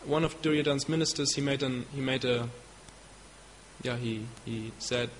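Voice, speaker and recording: A man's voice: mid-range voice, steady tone, a little hiss or hum. German-accented man telling a story in a hall. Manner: halting, searching for English words. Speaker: devotee giving a talk. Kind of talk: storytelling lecture. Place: hall in Belgium. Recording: long hall reverb with faint ambience.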